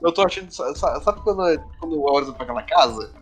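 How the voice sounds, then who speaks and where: A man's voice: fairly high pitch, expressive, quick pace. A man chatting casually on a podcast panel, hesitant and repeating his words as he goes. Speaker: podcast panelist and player in the campaign. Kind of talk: casual, bantering group chat. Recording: music behind the talk. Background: music.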